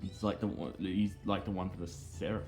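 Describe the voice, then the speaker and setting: A man giving rambling, casual commentary on video game cutscenes, speaking haltingly with repeated phrases and earnestly explaining small details. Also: low voice, fairly even intonation, darkish tone. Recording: game music behind the voice.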